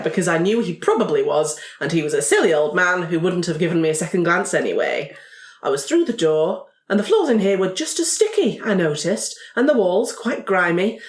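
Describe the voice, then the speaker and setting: A woman putting on an arch, animated lady snoop. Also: on the low side. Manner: mock-polite, wordy, theatrical. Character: arch, flirtatious lady snoop narrating her own exploits. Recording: roomy echo.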